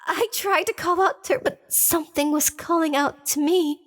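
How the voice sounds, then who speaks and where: A woman's voice: extremely high pitch, normal speed. A woman playing a dying young woman who wistfully narrates her last moments in a horror radio drama. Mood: wistful, fading. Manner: wistful, literary storytelling.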